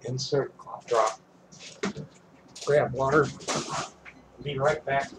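A man's voice: medium pitch, fairly even intonation, slow, noisy background. An older man with a congested voice, reading a statement aloud and adding casual asides. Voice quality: congested, stuffy. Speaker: vlogger. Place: small cluttered room indoors.

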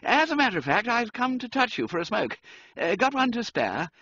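A dithery young gentleman, as a male reader puts him on, lifting into a higher, lively voice full of stammers and hesitations. The tone is husky and the recording sounds dull and dry. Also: brisk, dry recording, exaggerated voice. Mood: flustered. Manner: stammering, hesitant, with upper-class slang. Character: flustered young English gentleman, genial and dithery.

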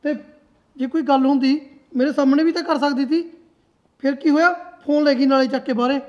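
A suspicious young husband, acted by a man. His voice is fairly high for a man, and he keeps accusing and insisting on his point. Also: steady tone, a little fast, highs cut off. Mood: bitter.

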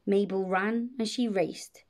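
A woman reading a rhyming children's bedtime story in a measured, expressive way. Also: mid-range voice. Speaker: storybook reader.

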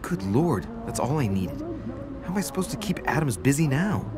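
An actor playing a brisk, sharp-tongued young nobleman in a video game. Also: mid-range voice, big pitch swings, natural voice. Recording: game audio with music behind.

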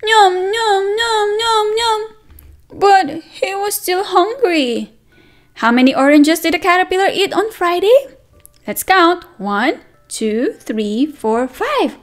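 High bouncy lady teacher doing storytime for little kids, talks slow, counts along, faint music. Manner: animated sing-song, asks children questions and counts along. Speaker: preschool teacher reading aloud. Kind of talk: read-aloud circle time for toddlers. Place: indoors at a table, only hands and book in frame.

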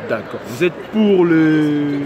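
A young man with a fairly high voice runs a street vox pop, joking and teasing as he fires off quick questions. He speaks into a handheld mic outdoors, with loud traffic and street noise all around him. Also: animated, a crowd in the background. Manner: playful, joking, quick follow-ups. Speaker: street interviewer. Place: busy city street outdoors.

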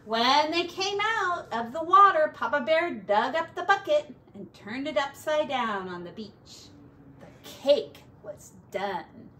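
A middle-aged woman, a teacher reading a picture book to children in an expressive, deliberate way, recorded indoors from a few feet away. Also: sits high. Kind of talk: animated children's storybook read-aloud. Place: small home room, indoors. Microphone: camera a few feet away. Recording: distant camera mic, faint background noise.

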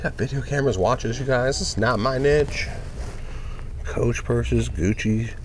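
A man's voice: medium pitch, measured pace, background noise. A man casually vlogging as he browses a flea market, chatting upbeat to his viewers. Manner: chatty, upbeat, talks to viewers as "you guys". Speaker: reseller vlogger. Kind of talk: casual walkaround vlog.

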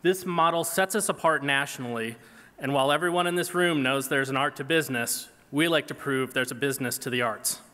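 A man in his forties giving a prepared award acceptance speech from a podium in a large hall, earnest and measured. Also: mid-range voice, bright, forceful tone. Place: large banquet hall stage. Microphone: podium microphone. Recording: reverberant hall PA sound.

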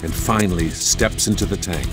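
A man with a low voice narrates a theatrical audio drama. He reads scripted stage directions, with prominent instrumental music playing underneath. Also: normal speed. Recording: loud music bed under the voice. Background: loud instrumental music.

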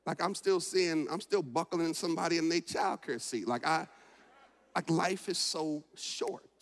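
Pastor guy in his forties, high voice, goes from shouting to soft reflective talk, repeats himself a lot, big echoey church. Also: measured pace. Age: 40s. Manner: preaching that swings from loud shouting to quiet, reflective talk; repeats words and phrases for emphasis. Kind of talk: sermon. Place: large church sanctuary. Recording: long reverb of a large church.

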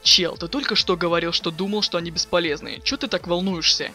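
A sardonic, slangy teenage boy voiced by a man from a webcomic dub. He rattles lines off quickly in a highish, sharp voice. He is impatient and too cool to care. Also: faint music behind, full character voice. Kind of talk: dramatic reading of a webcomic chat.

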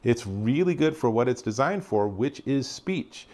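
A man's voice: medium pitch, animated, unhurried, a little mellow. Chatty North American man reviewing a product. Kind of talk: casual unscripted product review.

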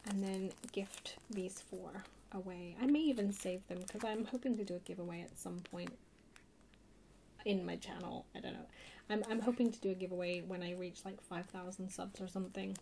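Woman, mellow and relaxed, gravelly fry, rambling casual ASMR haul chat, noisy recording. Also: medium pitch, moderate pace. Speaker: host of an ASMR haul video channel. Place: indoors at a table, hands-only camera. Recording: clear background hiss or noise.

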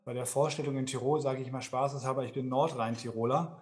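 A man's voice: mid-range voice, fairly even intonation, fast, a little mellow. A man from the Ruhr area, a sales manager as podcast guest, answering in a friendly, rambling way with many fillers. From Germany (Dortmund, Ruhr area). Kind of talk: conversational interview answer.

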